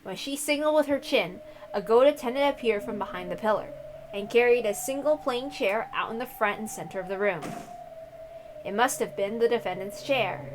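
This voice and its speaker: A woman reading a visual novel aloud, with expressive narration and casual asides. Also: on the high side, normal speed, noisy background.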